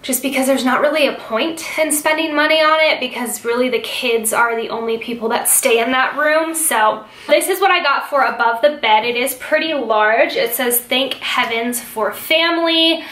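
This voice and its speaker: A young American woman talks in a casual, chatty way, as if showing purchases to her online viewers. Her voice sits a little high and sounds very bright. The recording has a clear room echo from an indoor home space. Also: normal speed. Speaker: home decor YouTuber. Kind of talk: casual haul vlog chat to camera.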